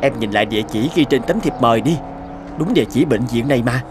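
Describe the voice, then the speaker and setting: A man playing an earnest, patient young man in dubbed animated dialogue. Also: medium pitch, quick pace, lightly acted. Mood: brisk. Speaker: voice actor in an animated moral tale. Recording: music behind the voice.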